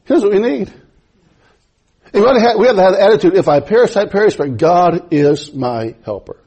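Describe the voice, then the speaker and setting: Husky high-voiced preacher with German roots. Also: male voice, lively intonation, brisk, warm tone. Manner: rises to high emphatic preaching, chuckles between phrases. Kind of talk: sermon.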